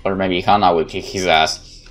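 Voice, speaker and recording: A man's voice: low-pitched, fairly even intonation, normal speed. A man doing a let's play, reading a visual novel's narration aloud and adding wry casual commentary, with game music behind him. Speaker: gaming YouTuber.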